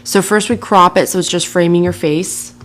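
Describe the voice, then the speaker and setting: Woman, lower register, casual tutorial narrator, friendly and chatty. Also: fairly even intonation, normal speed. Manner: casual and chatty, with light laughs. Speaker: software tutorial presenter. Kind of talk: screen-recording tutorial narration.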